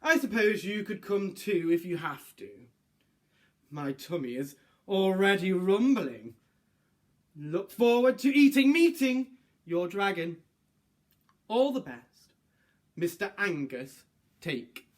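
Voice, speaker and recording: A man's voice: high voice, very animated, exaggerated voice. A man voicing a greedy, hungry butcher in a children's storybook, savouring his words. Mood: hungry, eager. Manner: slow, savouring, drawn-out words. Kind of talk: children's storybook read-aloud.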